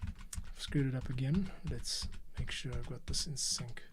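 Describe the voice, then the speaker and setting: A man's voice: medium pitch, unhurried. A man hosting a podcast, thinking aloud to himself as he edits audio and correcting his own mistakes. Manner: muttering to himself, self-correcting. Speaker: podcast host editing his show. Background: music playing.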